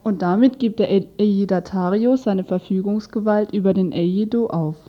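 A woman's voice: medium pitch, normal speed. Woman reading on muffled radio recording. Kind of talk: scripted report read aloud.

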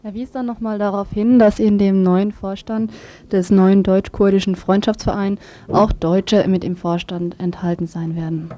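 A woman's voice: mid-range voice, fairly even intonation, moderate pace, mellow. A woman working as a local radio reporter, interviewing and summarising in an informal, unpolished way. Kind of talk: semi-scripted local radio news report.

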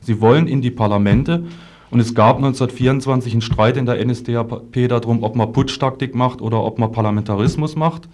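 A man argues a political case as a discussion guest. His pitch is average, and his phrasing is formal and long-winded, with hesitant stops as he builds each argument. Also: quick pace, a little mellow. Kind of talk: political debate, argumentative talk.

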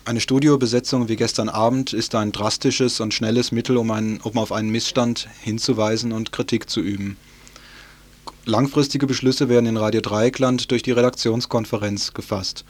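A man presenting radio news from a script in a sober, matter-of-fact way. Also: on the low side.

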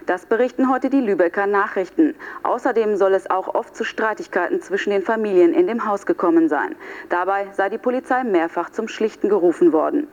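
A dull, slightly noisy radio recording of a woman reading the news. Her pitch is in the middle range for a woman, and her delivery is formal and factual, following a script. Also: darkish tone. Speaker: radio newsreader.

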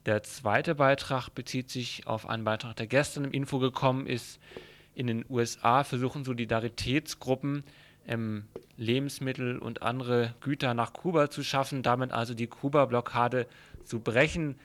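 A man presenting a radio news programme as host, in an informal, conversational and somewhat halting way. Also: medium pitch, steady tone, fairly bright tone.

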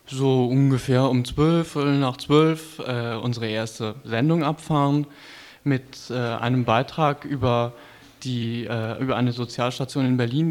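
Man, medium pitch, community radio presenter, unscripted delivery, halting, searching pauses. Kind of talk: unscripted on-air programme presentation. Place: radio studio.

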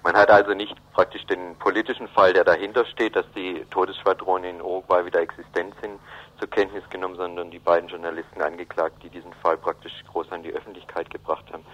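A man giving a halting, explanatory answer in a radio interview. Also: sits low, flat delivery, fairly bright tone. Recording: dull lo-fi radio recording.

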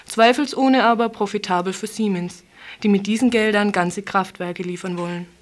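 Female radio reporter reading a scripted news piece, normal mid pitch. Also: moderate pace.

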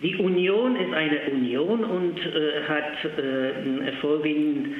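Man, halting and full of uhs, tinny phone line, heavy echo. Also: a little high. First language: Polish. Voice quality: halting, many filled pauses. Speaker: interview guest, language centre official. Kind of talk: phone interview. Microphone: telephone.

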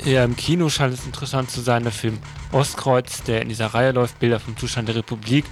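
A male radio presenter reads out listings and previews for listeners. He addresses them informally. Music plays clearly behind his voice throughout. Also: medium pitch, little pitch movement, fast.

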